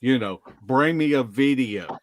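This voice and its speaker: A man telling field stories casually as a podcast guest, in a halting way with drawn-out pauses. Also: medium pitch, lively intonation. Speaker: guest, Bigfoot field investigator. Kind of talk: casual storytelling in a live podcast interview.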